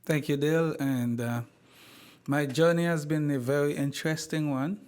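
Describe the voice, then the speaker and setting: A man from Haiti, a university president, telling his life story in an interview in a slow, measured way with frequent pauses and repeated words. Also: fairly high pitch, fairly even intonation, a little mellow.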